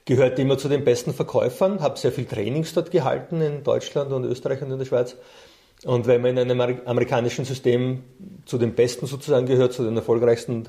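Middle aged guy, mellow voice, chatting about his life as a guest coach. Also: medium pitch. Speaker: interview guest, coach and trainer. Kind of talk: conversational personal storytelling.

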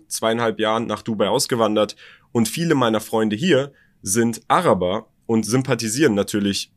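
A young adult man from Germany with a Berlin German accent, co-hosting a podcast and talking in a reflective, personal way with halting restarts. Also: fairly low pitch. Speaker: podcast co-host. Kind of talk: casual podcast conversation.

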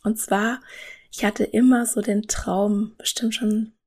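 A woman's voice: medium pitch, steady tone, slow, a little mellow. A woman in her thirties hosting a solo podcast, talking earnestly and enthusiastically straight to the listener. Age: 30s. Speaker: podcast host.

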